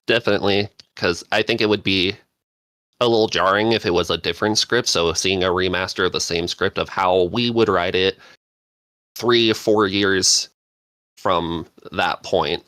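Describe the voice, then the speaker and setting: A woman speaks as a panelist in relaxed podcast conversation, agreeing readily and poking fun at herself. Her voice is very low in pitch, and the recording is dry and free of room sound. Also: normal speed, no room sound. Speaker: podcast panelist, script writer. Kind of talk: casual chat.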